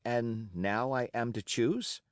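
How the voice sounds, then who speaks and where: A man voicing a bold young cabin boy who speaks in an excited, defiant rush. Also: mid-range voice, slow, no room sound, clean studio sound, voice pushed up, lightly acted. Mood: uncertain. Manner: breathless, rising, defiant pleading.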